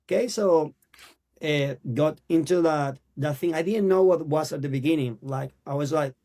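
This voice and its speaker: Venezuelan man, earnest halting English. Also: fairly high pitch, warm tone. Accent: Venezuelan Spanish. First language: Spanish. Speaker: actor guest. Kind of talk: casual interview.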